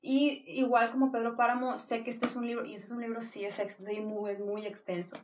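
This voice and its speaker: A woman's voice: a little high, little pitch movement, brisk, distant mic. A woman giving casual book recommendations, enthusiastic and rambling, often restarting her sentences. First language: Spanish. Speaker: book vlogger. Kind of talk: casual book review talk.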